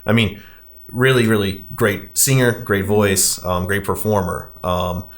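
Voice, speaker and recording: A man's voice: on the low side, measured pace. Man chatting casually on a podcast. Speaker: podcast host. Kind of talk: casual film discussion, recapping.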